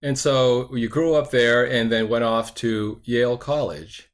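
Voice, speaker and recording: An older man hosting an interview, asking questions in a measured, courteous way. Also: medium pitch, fairly even intonation. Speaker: host interviewer. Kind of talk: interview conversation.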